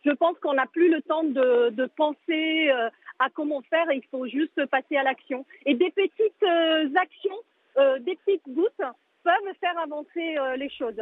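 Woman on the phone, tinny line, highish voice, lots of ums and restarts. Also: unhurried, dry recording. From Italy. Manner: hesitant, many fillers and restarts. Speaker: museum director, guest. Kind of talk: live radio interview answers.

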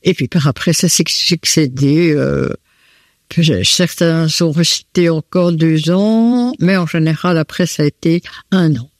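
A woman's voice: low voice, no room sound, studio quality. A woman answers interview questions at home in her living room, hesitant and full of fillers. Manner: slow and hesitant, many fillers. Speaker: host of students at home.

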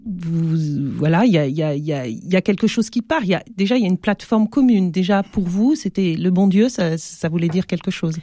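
A woman hosting a radio interview, chatty and quick to react. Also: on the low side, expressive, mellow, no room sound. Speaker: radio host. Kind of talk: radio interview and scripted intro.

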